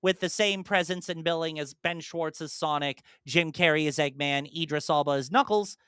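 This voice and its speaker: A podcast host chatting casually in an animated, rambling way, slipping into imagined dialogue. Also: medium pitch, moderate pace, clean tone, no echo, studio quality. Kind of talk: casual chat, enthusiastic monologue about films.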